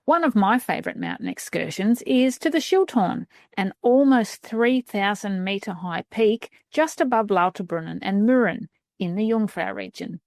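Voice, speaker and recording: A woman's voice: mid-range voice, lively intonation, moderate pace, gravelly, a little mellow, no room sound, clean studio sound. A woman hosting a travel podcast, reading a scripted intro and then chatting with a guest. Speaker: podcast host.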